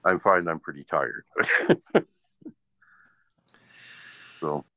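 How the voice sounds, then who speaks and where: A man's voice: extremely low pitch, drawn-out words, pushed and bright. A man in his sixties chatting casually in a rambling, easygoing way. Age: 60s. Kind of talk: casual chat. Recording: dull lo-fi audio in echoey room.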